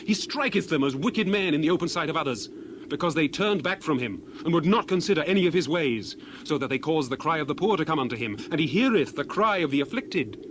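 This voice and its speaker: Over noticeable outdoor ambience with birds and doves, an earnest biblical orator played by a man declaims scripture in a somewhat high male voice. He is solemn and indignant, pressing his rebukes with emphatic, rhetorical questioning in the style of a dramatized King James Bible. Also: noisy background, natural voice. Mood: grave.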